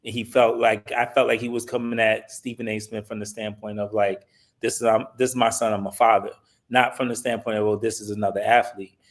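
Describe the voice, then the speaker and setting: A man giving his opinion as a sports panelist in casual, halting speech, searching for words as he goes. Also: on the low side, little pitch movement.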